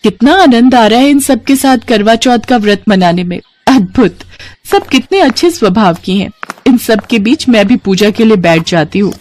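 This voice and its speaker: A woman's voice: a little high, expressive, moderate pace, a little mellow, natural voice. Woman voicing an earnest, devoted goddess. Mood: delighted. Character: goddess Parvati, devoted, gracious, gentle wife. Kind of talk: devotional storybook dialogue.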